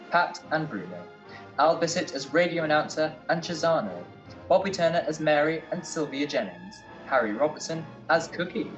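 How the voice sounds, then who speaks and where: Male announcer, scripted formal credits read, slightly muffled sound over a clear music bed. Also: on the high side. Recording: dull lo-fi home recording under music.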